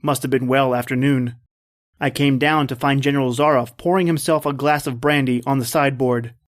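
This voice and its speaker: A man's voice: medium pitch, fairly even intonation, a little fast, natural voice. A man playing a principled, wary hunter in an old-time radio horror drama, speaking with grave, dramatic earnestness. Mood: tense, brooding. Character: principled male hunter, wary and appalled guest. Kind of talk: old-time radio drama acting with first-person narration.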